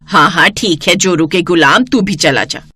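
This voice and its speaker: A woman voicing an older, greedy mother-in-law in a family story, sharp-tongued and disdainful. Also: lowish voice, moderate pace, breathy edge. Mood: scolding.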